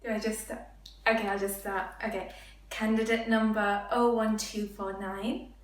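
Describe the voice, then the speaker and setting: A woman's voice: mid-range voice, little pitch movement, normal speed, far from the mic. A young woman introducing a comic piece like a nervous audition, hesitant and awkward. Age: young adult. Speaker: comedy performer. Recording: echoey room with faint music.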